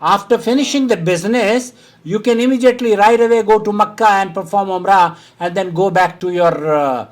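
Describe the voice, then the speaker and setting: A man from India with a high-pitched voice hosts a call-in Q&A. He talks firmly and waves off rumors, with a short laugh now and then. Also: fairly quick. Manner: firm, dismissive of hearsay, with short laughs. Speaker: host answering a caller's religious question. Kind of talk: phone-in Q&A.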